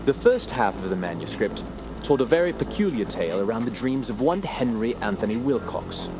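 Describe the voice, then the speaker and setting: An actor playing a grave, brooding gentleman scholar who narrates a horror audio drama in a formal, measured, ominous way. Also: mid-range voice, big pitch swings, background noise, close to an everyday voice. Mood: uneasy, puzzled.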